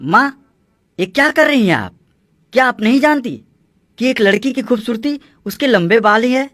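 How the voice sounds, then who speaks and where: A performer voices a protective elder brother in a family drama cartoon, speaking firmly and reproachfully. The voice is medium in pitch and sounds dry and close. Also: lively intonation, normal speed, a bit bright, no echo, lightly acted. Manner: firm, reproachful.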